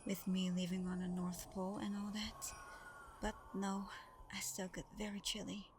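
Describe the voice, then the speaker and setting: Woman to play Santa's grown daughter: gentle, shy, affectionate, hushed ASMR delivery with even intonation, over noticeable background ambience. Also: medium pitch, moderate pace, lightly acted. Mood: soft, teasing. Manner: soft, tender, hesitant, partly whispered. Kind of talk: intimate ASMR roleplay, soft-spoken and breathy. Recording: clearly noisy background ambience.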